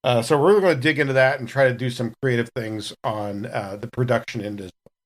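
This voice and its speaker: A man's voice: mid-range voice, fast talker. A man chatting casually on a podcast about his projects. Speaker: podcast cast member and producer.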